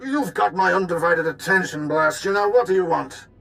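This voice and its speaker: A pompous, wealthy game villain, full of imperious bluster and quick panic. He talks like someone used to giving orders to servants. Faint music plays behind him. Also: high-pitched, lively intonation, creaky, a bit bright, heavily acted. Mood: furious, desperate. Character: pompous wealthy crime-linked businessman, imperious yet panicky. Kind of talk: video game voice acting.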